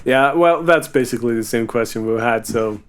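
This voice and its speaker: A man's voice: medium pitch, normal speed, clear, resonant tone, faint music behind. A man hosting a casual group Q&A, informal and given to swearing as he reads questions and answers them. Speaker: music producer hosting a Q&A.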